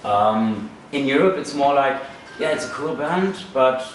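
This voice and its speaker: A man's voice: medium pitch, animated, measured pace. A man from Germany, answering interview questions in casual talk, with many restarts and repeated words. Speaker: musician interviewed. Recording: distant mic in a very echoey room with faint noise.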